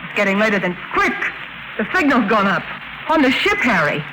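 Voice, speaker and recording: An actress playing a mysterious, cool and steely countess in a 1950s radio drama. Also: female voice, mid-range voice, very animated, a little fast, close to an everyday voice. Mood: steely, urgent. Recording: noisy vintage 1950s radio recording.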